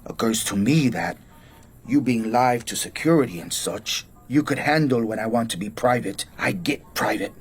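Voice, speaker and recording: A tough American crime boss in a radio play, with faint music underneath. He talks in blunt slang at a middling pitch, bossing people around and always ready to threaten. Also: lively intonation, normal speed, slightly rough. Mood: prickly, threatening. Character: menacing American gangster assassin, short-tempered hotel owner. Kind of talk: radio drama acting.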